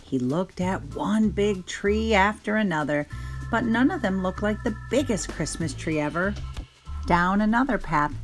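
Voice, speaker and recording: A woman reading a children's picture book aloud in an expressive, gentle storytelling manner. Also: on the low side.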